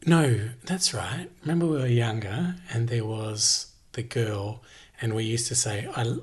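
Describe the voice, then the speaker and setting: A young man hosts a casual podcast from a lounge room at home. His voice is slightly husky and breathy, at an everyday pitch. He chats loosely, laughing and starting over often. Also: measured pace. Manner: chatty, laughing, restarting often. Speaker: podcast host. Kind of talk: casual chat.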